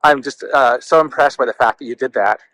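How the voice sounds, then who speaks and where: A man's voice: medium pitch, fairly quick, a bit bright, slight background noise, rough recording. A man hosting a casual walk-and-talk interview, speaking in a gushing, effusive way. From California, lived in the Bay Area. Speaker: interview show host.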